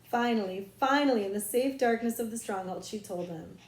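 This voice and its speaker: A woman reading a children's novel aloud as expressive storybook narration. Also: on the high side, brisk, echoey room, poor audio. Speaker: audiobook reader, librarian.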